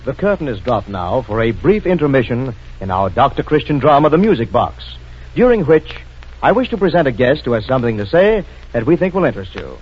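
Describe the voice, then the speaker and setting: A man's voice: medium pitch, sing-song, a little fast, slight background noise. A man working as a radio announcer, reading scripted narration in a 1940s style. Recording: dull vintage radio recording.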